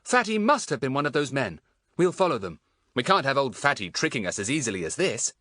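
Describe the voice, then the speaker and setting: A man voicing an eager, confident schoolboy with a bossy, excited manner in a storybook. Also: mid-range voice, brisk, no echo, voice pushed up.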